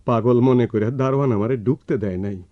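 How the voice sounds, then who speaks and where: A man's voice: medium pitch, a little fast, no room sound, natural voice. A man playing an eccentric, devoted older brother in a radio drama, emphatic and pleading, in a rural Bangladeshi Bengali dialect. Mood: earnest. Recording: dull lo-fi radio recording with music.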